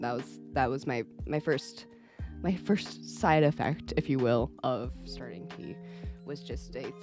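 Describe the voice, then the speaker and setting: A young man talking casually to camera at home, rambling and candid, swearing often and laughing between thoughts. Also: fairly high pitch. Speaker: vlogger. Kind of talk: casual vlog chat.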